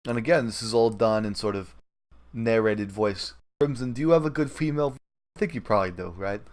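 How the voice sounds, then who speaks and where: A man's voice: on the low side, a little fast. A man talking casually about gaming as a podcast host.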